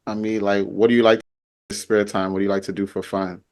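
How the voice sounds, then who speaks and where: A man on a podcast panel, talking in a relaxed, rambling way. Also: lowish voice, quick pace. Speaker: podcast guest. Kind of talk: casual panel chat.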